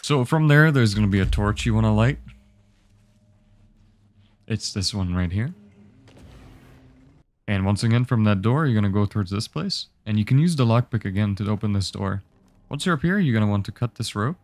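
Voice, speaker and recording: A man's voice: on the low side, normal speed, long pauses. A young man giving a casual, easygoing walkthrough of a video game level, explaining each step. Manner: relaxed instructive, easygoing. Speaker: game guide host.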